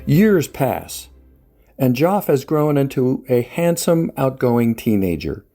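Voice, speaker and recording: A man hosting and reading scripted narration to introduce a dramatic scene, in a measured storyteller's manner. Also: mid-range voice, big pitch swings, mellow, faint music behind. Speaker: host, writer-director.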